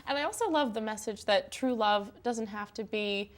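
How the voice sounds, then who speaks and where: A young woman co-hosts a casual film chat. Her voice sits a little high, and she talks in an expressive, gushing style. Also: moderate pace, slight background noise. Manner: gushing, expressive, quotes herself. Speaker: co-host of a film and TV talk show.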